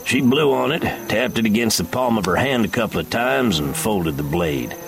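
A man's voice: fairly low pitch, lively intonation, normal speed, vocal fry. A man narrating fiction from a script, as an audiobook narrator.

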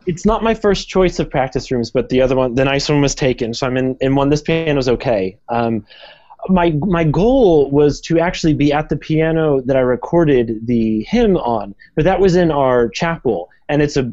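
A man's voice: mid-range voice, lively intonation, normal speed, a little mellow, muffled recording. A man guest talking casually from a small practice room with a piano, full of ums and hesitations, speaking modestly about his music. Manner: hesitant, many ums, self-deprecating. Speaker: guest vocal coach. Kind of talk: casual podcast chat.

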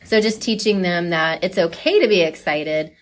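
A woman talking casually and enthusiastically as a coach being interviewed, chatty and quick to laugh. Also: fairly low pitch.

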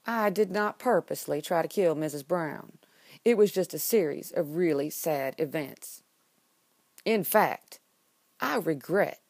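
A woman's voice: sits low, a little mellow, no room sound. A woman performing a comedic monologue as an exasperated, sarcastic student pleading innocence, with dramatic, emphatic delivery. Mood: mock-innocent.

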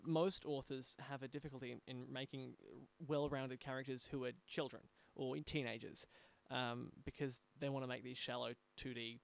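A man's voice: mid-range voice, steady tone, moderate pace, vocal fry. An Australian man hosting a podcast in a conversational interview style. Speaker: podcast host.

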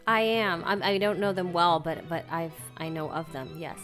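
A woman's voice: fairly low pitch, moderate pace, a bit bright, faint music behind. A woman speaking as a guest in a conversational interview, reflective and earnest, thinking aloud as she goes.

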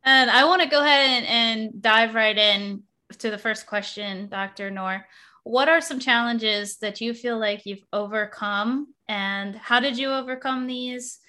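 A woman's voice: on the high side, measured pace, bright, forceful tone. A young adult woman hosting a podcast interview, talking eagerly in long, rambling sentences with restarts. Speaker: podcast host.

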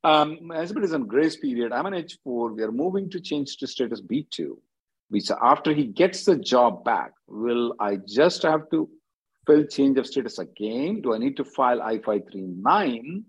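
Male advice-line host, husky and very lively in intonation, blunt, informal, repetitive for emphasis. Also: medium pitch, normal speed, poor audio. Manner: blunt, emphatic, repeats himself. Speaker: immigration attorney hosting a call-in. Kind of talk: informal question-and-answer advice, reading out questions.

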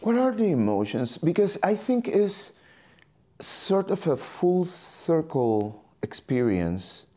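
An interviewer speaking in a reflective, celebratory style, deliberately building phrases in series. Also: medium pitch, big pitch swings, mellow.

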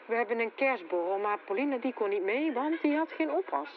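Suspicious lookout colleague, high voice, thin phone sound, audio drama. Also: female voice, lively intonation, a little fast. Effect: phone or radio filter. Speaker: actor. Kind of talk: fiction podcast, phone report acting. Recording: tinny phone line outdoors, heavy noise.

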